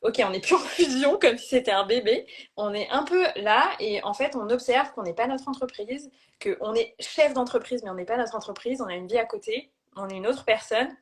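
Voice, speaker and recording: A woman's voice: on the high side, brisk. A woman hosting a casual live-stream conversation as a life coach, speaking thoughtfully and sharing personal anecdotes.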